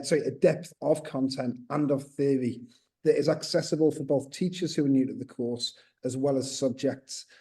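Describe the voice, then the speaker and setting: A man's voice: medium pitch, mellow. A man presenting on a video call webinar, explaining at length, with many fillers and restarts, and a slight accent of his own. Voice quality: says he has a slight accent. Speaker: textbook co-author.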